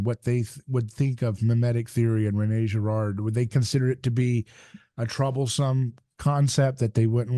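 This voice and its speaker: A man's voice: medium pitch, dark tone, no room sound. A man hosting a podcast interview at a studio mic, asking long, rambling questions with fillers. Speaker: podcast host. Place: room with plants behind. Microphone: studio mic on arm. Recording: dry close-mic sound.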